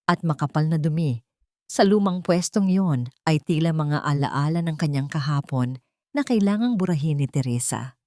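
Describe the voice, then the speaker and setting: A woman narrating a scripted dramatic story in a measured, solemn, expressive manner. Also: lowish voice, no room sound, studio quality.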